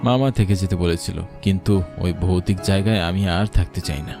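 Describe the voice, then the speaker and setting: Guy doing scared village kid narrating a horror cartoon, earnest and dramatic, music loud behind him. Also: low voice, fairly even intonation, normal speed. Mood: sad, reflective. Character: frightened young village boy recounting a ghost encounter.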